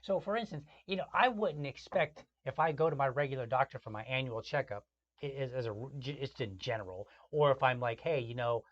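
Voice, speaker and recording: Brief: mid-pitched male podcast host, steady explainer, lo-fi muffled recording. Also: a little fast. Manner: steady listener with frequent "right" affirmations, restarting phrases as he explains. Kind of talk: casual podcast chat. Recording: dull lo-fi podcast audio.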